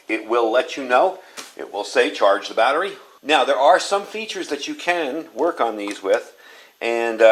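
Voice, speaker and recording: A man's voice: medium pitch, a bit bright. An American man giving a casual product review in a plainspoken, conversational way, explaining things as he goes.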